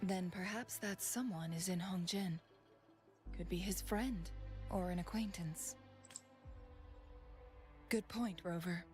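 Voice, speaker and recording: An actress playing a composed young noblewoman in a game, speaking in a calm, measured way. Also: fairly low pitch, soft background music. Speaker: game character. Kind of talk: game voice acting.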